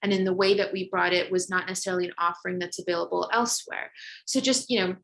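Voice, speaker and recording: A woman's voice: lowish voice, fairly even intonation, fast talker, gravelly. A woman in her thirties, from the UAE, introducing herself on a virtual panel in a conversational way with frequent fillers, over a video call. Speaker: panel guest.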